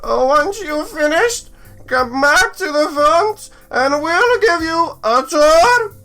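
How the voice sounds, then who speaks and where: A woman voicing a helpful archaeologist with an affected, drawn-out delivery in a visual novel. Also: high voice, lively intonation, fairly bright tone, faint music behind, voice pushed up, full character voice. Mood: helpful, brisk.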